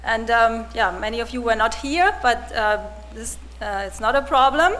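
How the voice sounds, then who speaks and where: A woman in her forties, from Germany, giving a personal testimony to a congregation in a soft-spoken, hesitant way with many restarts. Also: a little high, moderate pace. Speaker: church volunteer giving testimony.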